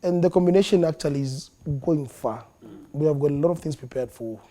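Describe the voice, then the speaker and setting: A man with a Zambian accent, a comedian being interviewed in a studio, animated and quick to laugh, slipping into local languages now and then. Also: on the high side. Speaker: comedian guest. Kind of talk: interview talk.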